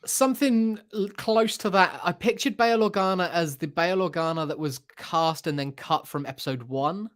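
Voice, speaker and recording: A man's voice: high-pitched, normal speed, hardly any pauses, fairly bright tone. A man chatting casually about pop culture as a podcast host, hesitant and thinking aloud as he goes.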